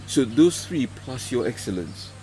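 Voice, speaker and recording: A man's voice: mid-range voice, big pitch swings, a little slow, poor audio. A man who grew up in Ghana, a podcast guest talking thoughtfully with many restarts. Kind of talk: podcast interview.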